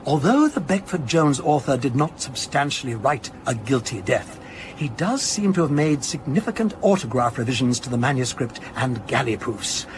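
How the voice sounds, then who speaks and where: An actor playing a precise, dryly pedantic academic language analyst in a radio drama, speaking in a measured, lecturing way. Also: male voice, mid-range voice, big pitch swings, a little hiss or hum, close to an everyday voice. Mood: dryly explanatory.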